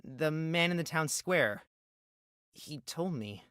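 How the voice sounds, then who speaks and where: A woman's voice: sits low, lively intonation, takes it slowly, a bit bright, no room sound, studio quality, pitched below the performer's own voice, heavily acted. A woman voicing a frightened, earnest young man in a visual novel, stammering and deferential, with music underneath. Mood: nervous, polite. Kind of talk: visual novel character read-aloud.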